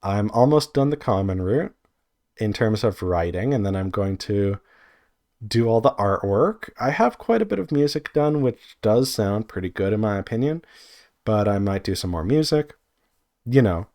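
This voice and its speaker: Low-voiced man in a casual vlog. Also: animated, moderate pace, darkish tone. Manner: informal, hesitant, self-correcting, thinking aloud. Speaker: indie visual novel developer giving updates. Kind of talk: casual rambling vlog update.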